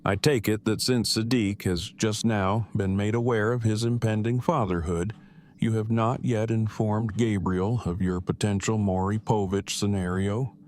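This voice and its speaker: A man playing a verbose, formal, deadpan oddball in an audio drama. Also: on the low side, measured pace, close to an everyday voice. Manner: pompous wordy formal phrasing, deadpan.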